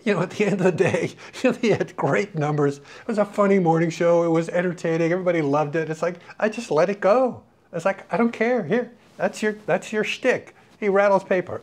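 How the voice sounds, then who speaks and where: Higher-voiced man giving informal radio coaching. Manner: conversational, rambling, peppered with "you know". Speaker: radio programming coach, former program director. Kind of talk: informal spoken lesson with anecdotes.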